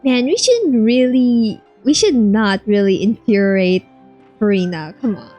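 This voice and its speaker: A woman's voice: mid-range voice, lively intonation, a little slow, dark tone, highs cut off, faint music behind. A woman streamer giving casual, informal reaction commentary, talking back to the characters of a game.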